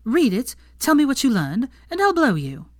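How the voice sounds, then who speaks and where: A woman's voice: sits high, huge pitch swings, moderate pace, no echo, studio quality, voice pushed up, full character voice. A woman voicing an earnest, feisty, know-it-all young witch in a story reading, emphatic and matter-of-fact. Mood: bargaining.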